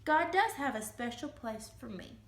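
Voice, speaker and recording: Timid little lamb, a woman acting, very high. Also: animated, normal speed. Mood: earnest. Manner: timid, earnest. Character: timid, sad little lame male lamb. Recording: roomy, echoing room sound.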